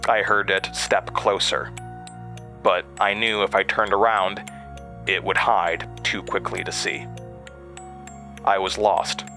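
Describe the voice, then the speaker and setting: An actor playing a haunted man recounting a terrifying memory in a horror audio drama, halting and hesitant. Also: low-pitched, level tone, vocal fry, sharp tone, faint music behind, exaggerated voice. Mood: haunted, tense. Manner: halting, hesitant, with stammered restarts.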